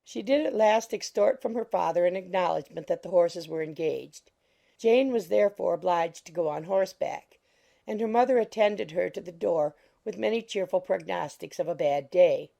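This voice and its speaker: Mellow, creaky woman narrator. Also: fairly low pitch, normal speed. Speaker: audiobook narrator. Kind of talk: scripted literary narration.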